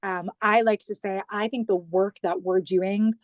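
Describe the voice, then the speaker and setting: A woman's voice: mid-range voice, fairly even intonation, a little slow, dry recording. A woman in her thirties from New York with an American accent, speaking earnestly and fluently as a guest on a video call. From New York, United States. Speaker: guest, government digital communications head.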